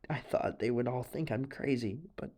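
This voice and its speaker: A young man playing an anxious, haunted teenage boy in an audio drama monologue, speaking haltingly with stammers, sighs and a creaky voice. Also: medium pitch, a little mellow, natural voice. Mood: nervous, confiding. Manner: halting, stammering, sighing, nervous breathy laughs.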